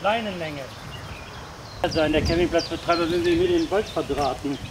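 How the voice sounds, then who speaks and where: Man, playful, filming, high voice, camcorder mic, outdoor noise. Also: normal speed. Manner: playful, joking. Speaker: camera operator and vlogger. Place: outdoors at a campsite. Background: outdoor ambience.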